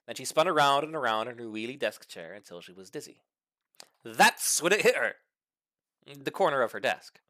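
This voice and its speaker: A man's voice: medium pitch, lively intonation, normal speed, pushed and bright, no room sound. A man reading a funny short story aloud as scripted narration, with theatrical, mock-serious emphasis. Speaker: story reader.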